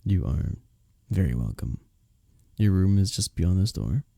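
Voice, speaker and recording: A man playing a courteous, smitten young village innkeeper in an intimate romantic fantasy roleplay, speaking softly and breathily with formal, bashful phrasing. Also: deep voice, gravelly, dry recording, studio quality, heavily acted. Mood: gently attentive.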